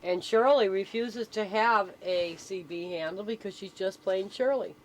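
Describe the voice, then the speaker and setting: Chatty middle-aged woman telling casual anecdotes. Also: unhurried. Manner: chatty, explanatory storytelling. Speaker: interviewee, CB club member. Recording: noisy amateur recording with vehicle rumble.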